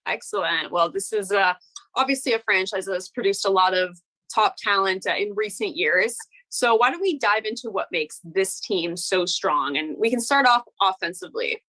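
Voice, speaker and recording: A woman's voice: medium pitch, moderate pace, sharp tone, rough recording. A woman conducting a prepared sports interview.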